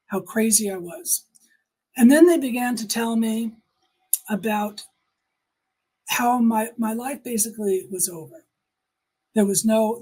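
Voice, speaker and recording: Husky woman telling her own story emphatically. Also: mid-range voice, dark tone, rough recording. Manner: emphatic, repeats phrases for emphasis. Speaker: guest giving personal testimony. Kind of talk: personal storytelling in an interview.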